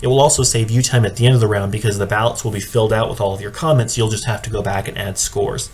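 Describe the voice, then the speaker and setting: A man giving an instructional presentation like a coach, explaining in a measured, matter-of-fact way. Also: on the low side, steady tone, a little mellow. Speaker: speech and debate coach training judges. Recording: clearly audible background noise.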